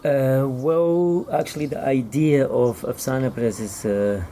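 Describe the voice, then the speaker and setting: A middle-aged man with a Kurdish accent, a native Kurdish speaker, giving halting, conversational interview answers as he searches for words. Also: medium pitch, warm tone, a little hiss or hum. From Iraqi Kurdistan. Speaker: author and publisher, interview guest.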